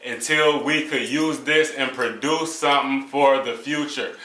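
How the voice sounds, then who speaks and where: A man's voice: mid-range voice, fairly even intonation, unhurried, sharp tone. A young man in a casual discussion, speaking in a laid-back way with lots of filler phrases. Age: young adult. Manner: laid-back, frequent "you know what I'm saying" fillers. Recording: roomy echo.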